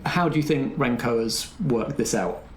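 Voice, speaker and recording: A man's voice: medium pitch, normal speed. Guy game master setting scenes for players, roomy recording w faint noise. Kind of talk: narrating and prompting in a tabletop roleplay. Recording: echoey room, faint background noise.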